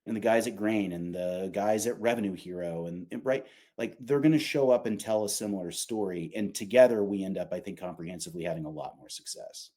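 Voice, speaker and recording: A man's voice: deep voice, fairly quick, few pauses, dark tone. A man talking informally and emphatically, explaining his company's product in a conversational way with casual asides.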